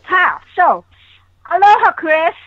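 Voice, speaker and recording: A woman from Hawaii calling into a radio show, speaking in an earnest, measured way in short phrases. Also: very high voice, sing-song, a bit bright, dry recording, highs cut off. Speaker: caller. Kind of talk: call-in conversation. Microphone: phone or call-in line with earpiece.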